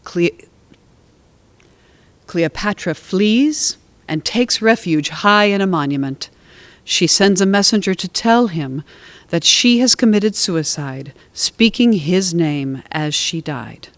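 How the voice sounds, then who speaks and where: A narrator tells a story from a script. The delivery is measured and articulate. The voice sits in a middle register, with a close sound free of room echo. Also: mid-range voice, expressive, fairly bright tone.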